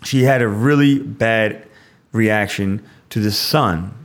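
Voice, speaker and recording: A man's voice: on the low side, slow. A priest hosting a show and explaining a scripture passage in a conversational way. He often restarts his sentences and adds "like" asides.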